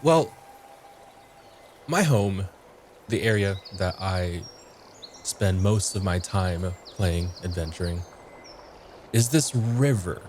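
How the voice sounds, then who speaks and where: A man playing a mischievous, playful fey river creature in a tabletop roleplay, speaking in a slow, drawn-out, savoring way. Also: low voice, animated, a little mellow, slight background noise.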